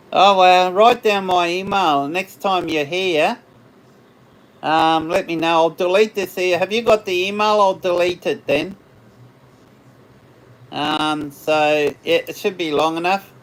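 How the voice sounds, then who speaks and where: An Australian man hosting a casual livestream, chatting with viewers in a rambling way, his voice slightly hoarse after hours of talking. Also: sits high, steady tone, takes it slowly, long pauses, fairly bright tone, poor audio. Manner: rambling, chatty, laughs often. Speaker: livestream host. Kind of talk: casual live chat with viewers.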